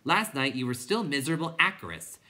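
A narrator voicing an earnest, exasperated young boy in a storybook, shouting insistently with indignant pleading. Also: mid-range voice, big pitch swings, moderate pace, pitched above the performer's own voice.

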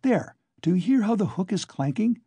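A man voicing a cheerful, quick-witted young man in an audiobook, ringing and insistent. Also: sits high, very animated, warm tone, breathy edge, dry recording, voice pushed up, heavily acted. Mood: urgent, insistent.

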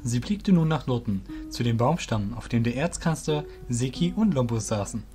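A man's voice: mid-range voice, animated, moderate pace, mellow. Male fantasy narrator over music. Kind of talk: scripted fantasy audio drama narration.

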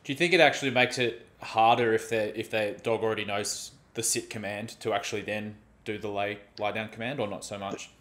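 A man's voice: lowish voice, steady tone, a little fast, hardly any pauses, fairly bright tone. A man in his thirties hosting an interview over a video call, rephrasing his questions as he goes. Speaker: podcast host. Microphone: headphones on a video call.

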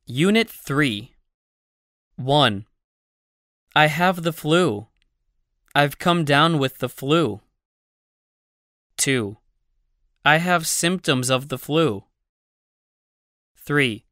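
A narrator reading numbered phrases for a language-learning audiobook, slowly and evenly for learners. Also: mid-range voice, lively intonation, a bit bright, no room sound, studio quality. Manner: slow, evenly spaced delivery of numbered phrases.

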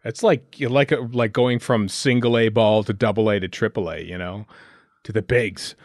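A man's voice: on the low side, fairly even intonation, moderate pace, dry recording, studio quality. A man chatting casually and thinking aloud as a podcast host. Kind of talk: casual fan commentary chat while watching a show.

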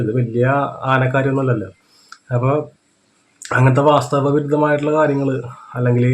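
Fast, even-toned Kerala man commentating. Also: mid-range voice. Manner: explanatory, repeats check-in tag questions. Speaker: reaction video commentator. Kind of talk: unscripted reaction commentary.

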